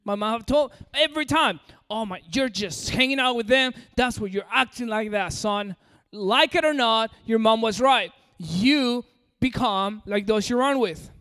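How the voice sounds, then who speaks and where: A man's voice: extremely high pitch, unhurried, fairly bright tone, smooth. A man from Peru with an American-sounding accent, preaching a sermon and coaxing the audience directly. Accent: American-sounding English. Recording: long hall reverb.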